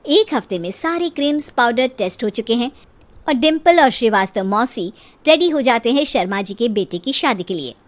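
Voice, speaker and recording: A woman narrator reading a scripted comic story for an animated video. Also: on the high side, normal speed.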